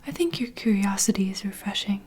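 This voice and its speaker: A woman playing a nurturing, gossipy vampire bartender in an ASMR fantasy roleplay, speaking in a soft, breathy, confiding whisper. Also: medium pitch, lively intonation, fairly quick. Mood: confiding, protective. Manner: intimate, confiding, gentle.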